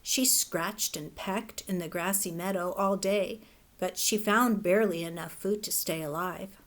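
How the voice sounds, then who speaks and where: A woman teacher reading a children's fable aloud in a gentle, expressive storybook manner. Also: medium pitch, unhurried. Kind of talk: children's read-aloud narration.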